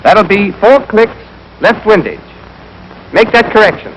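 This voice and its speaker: A man's voice: high voice, expressive, unhurried, fairly bright tone. A young American man giving scripted, clipped, step-by-step marksmanship instruction as an army instructor in a 1940s training film. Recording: noisy 1940s film soundtrack.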